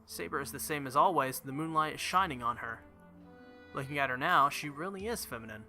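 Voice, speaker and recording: A man with a very bright, forceful voice reads game text aloud expressively and drops into casual, joking asides. His pitch sits a little high, and faint music plays behind him. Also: fairly quick. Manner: casual, joking asides between dramatic readings. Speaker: gaming video creator reading a visual novel aloud.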